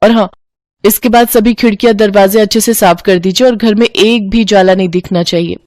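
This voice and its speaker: A woman acts out a young daughter-in-law in a family storybook tale. She is sweetly bossy and dramatic, with resentment under the sugar. The sound is dry and mid-pitched. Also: fairly even intonation, a little fast, a little mellow, no echo, close to an everyday voice.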